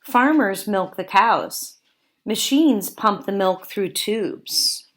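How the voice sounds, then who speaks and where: Slow-paced woman teacher reading aloud. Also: medium pitch, animated. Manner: deliberate, simple teacherly phrasing for young children. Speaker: teacher reading a book aloud to young children. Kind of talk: read-aloud lesson with explanatory asides.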